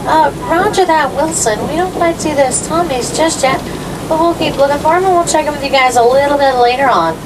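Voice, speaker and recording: A woman with an American accent, working as a tour guide and narrating animal facts from a script on a safari ride. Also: a little fast. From United States. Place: moving open safari vehicle outdoors. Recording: very noisy, distant vehicle loudspeaker. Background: loud vehicle rumble and ambience.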